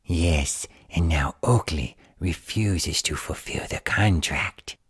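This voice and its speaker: A man playing a scheming, indignant gremlin villain in a fantasy audio drama, with a raspy, breathy half-whisper. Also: low voice, slow pace, dry recording, studio quality, heavily acted. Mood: aggrieved, defiant.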